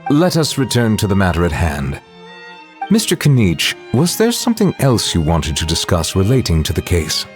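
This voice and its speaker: An actor playing a composed chief judge in a video game, speaking in measured, courteous and formal phrases. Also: male voice, low-pitched, wildly swooping pitch, faint music behind.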